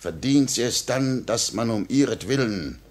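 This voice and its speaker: An actor playing a smug, cynical police chief in a 1950 radio play, suave and sardonic, coaxing and mocking in a measured theatrical way. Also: male voice, medium pitch, animated, darkish tone, natural voice. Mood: insinuating.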